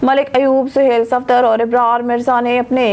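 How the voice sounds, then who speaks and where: A woman's voice: on the high side, little pitch movement, normal speed, a little mellow. A woman reading a scripted news bulletin, formal, going steadily through long lists of names. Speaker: news reader.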